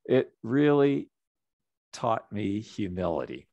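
A middle-aged man, a nonprofit executive director, giving a reflective, measured story-led presentation over a video call. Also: fairly low pitch, sing-song, warm tone, dry recording, dull audio. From United States.

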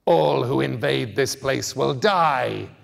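Storybook undead guardian, performed by a man: ancient, fierce, guttural, slow and commanding, ringing through a hall. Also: mid-range voice, creaky, voice pushed up, exaggerated voice. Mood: fierce, menacing. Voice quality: guttural growl.

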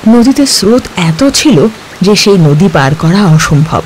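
A man narrating a cartoon folk tale in a steady storytelling voice. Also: high voice, unhurried, slight background noise, rough recording.

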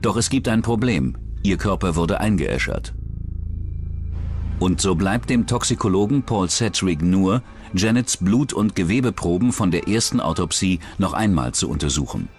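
Male narrator, scripted true-crime documentary, lowish voice, lively melody, soft music underneath. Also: moderate pace.

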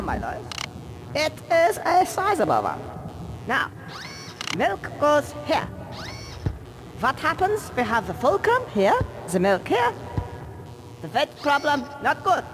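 A man playing an excitable, pedantic weasel scientist with a German accent in a video game. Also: extremely high pitch, noisy background, full character voice. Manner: pedantic, theatrical lecturing. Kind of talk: video game cutscene acting.

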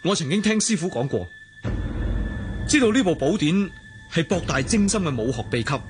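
A man's voice: on the high side, sing-song, normal speed, music in the background. An actor playing a humble, principled young swordsman in a Hong Kong Cantonese radio drama, speaking formally and deferentially. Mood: respectful.

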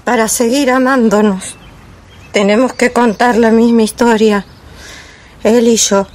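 A woman playing a melancholy, lonely wife in a poetic theatrical monologue, with a measured, reflective delivery. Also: fairly high pitch, fairly even intonation, a little mellow, natural voice. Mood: wistful, resigned. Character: melancholy wife recalling a silent, lonely marriage. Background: music.

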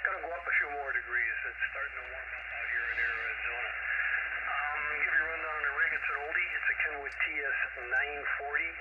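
A man's voice: mid-range voice, steady tone, fast talker, dull audio. An American man with folksy patter, making casual amateur radio contact, heard through a shortwave radio. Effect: shortwave single sideband radio. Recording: very noisy, band-limited shortwave radio reception. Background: loud radio static.

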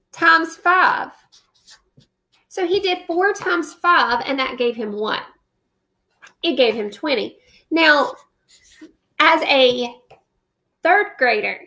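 Teacher, female, 30s, high gravelly voice, storybook read-aloud then lesson, home webcam. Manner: expressive storybook reading, then plain classroom explaining. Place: living room at home, indoors. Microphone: webcam at close range.

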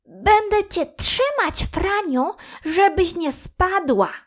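A woman's voice: very high voice, lively intonation, slow, pitched above the performer's own voice, full character voice. A woman playing an eager, impatient little girl, a childlike big sister in a children's audio fairy tale. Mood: caring, eager.

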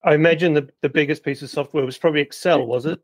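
A man's voice: on the high side, fairly even intonation, fast, no room sound. A man hosting a podcast, introducing guests and interviewing them conversationally. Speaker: podcast host.

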